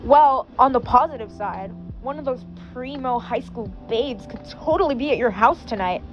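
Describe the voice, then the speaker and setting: A woman's voice: a little high, animated, moderate pace, dull audio, faint music behind, natural voice. A woman reading the lines of a nerdy, gadget-loving teen boy in her own voice. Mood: scheming. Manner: read in the reader's own voice.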